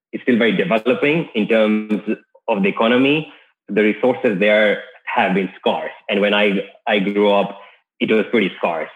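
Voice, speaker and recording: Male guest, from Kenya, low-pitched, easygoing, heard down a tinny call line. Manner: easygoing, with frequent restarts. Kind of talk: casual podcast interview.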